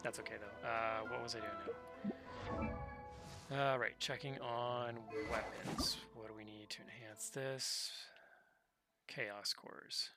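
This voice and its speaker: A man with a noticeably creaky voice at an average pitch, talking himself through a game on a livestream. He is easygoing and mutters his thoughts aloud. Game music plays clearly behind him. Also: fairly even intonation, slow. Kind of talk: casual gameplay commentary, thinking aloud. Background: video game music.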